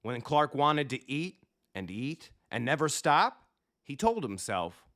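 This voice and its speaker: A man narrating a children's picture book in an expressive, emphatic storytelling style. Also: mid-range voice, slow. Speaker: storybook reader, coach.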